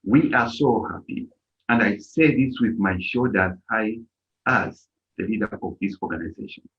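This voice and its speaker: A man's voice: lowish voice, normal speed. A middle-aged man from Zambia who leads an organization, giving an impassioned speech at a live-streamed event and stressing his key points hard. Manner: emphatic, rising to near-shouts on key points. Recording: dull lo-fi stream audio.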